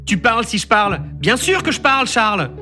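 A grumpy, bossy cartoon dragon, as a man acts it out, very high-pitched and bright. It swings up and down in pitch as it demands and complains. Soft music plays behind it. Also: big pitch swings, fairly quick, full character voice. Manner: indignant, demanding, rhymes names.

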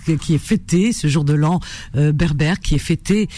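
A woman's voice: deep voice, normal speed. A woman from Algeria hosting a radio classified-ads show, chatting with lots of fillers and repetitions. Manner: warm chatty delivery with many fillers and repetitions. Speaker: radio host.

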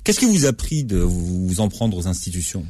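A man's voice: low voice, very animated, normal speed, warm tone, no room sound, clean studio sound. A man hosting an interview, asking probing questions that he sometimes builds up hesitantly. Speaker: interviewer, book club host.

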